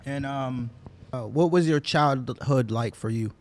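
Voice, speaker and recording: A man's voice: mid-range voice, a little slow, slight background noise. A man hosting a podcast interview, asking questions in a laid-back, friendly way. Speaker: podcast host.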